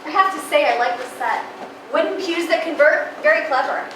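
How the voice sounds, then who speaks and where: A woman from Manchester playing a brisk, devout character who scolds and organizes in a stage play. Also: high voice, recorded from a distance, close to an everyday voice. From Manchester, England. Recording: echoey stage heard from afar, background noise.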